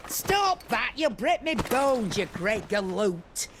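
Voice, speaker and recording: A woman's voice: on the high side, big pitch swings, slow, noisy background. An actress playing a brusque, teasing old trader woman in a video game, with a blunt, colloquial manner. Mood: scolding. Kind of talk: video game cutscene acting.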